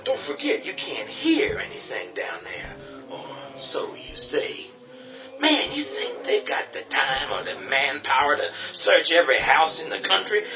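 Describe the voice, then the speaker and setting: An actor playing a hard, commanding man who defends a boarded-up farmhouse and turns to menacing whispers. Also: mid-range voice. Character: tough defiant man holding a farmhouse. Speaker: Ben. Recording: dull distant audio over music.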